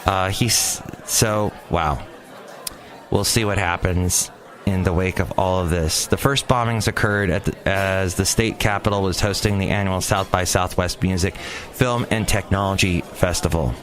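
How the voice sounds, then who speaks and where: A man's voice: deep voice, fairly even intonation, unhurried, soft background music. A man hosting his own podcast outdoors near a cafe, giving rambling, opinionated news commentary and stumbling over words. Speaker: podcast host. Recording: outdoor street noise. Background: traffic and city ambience.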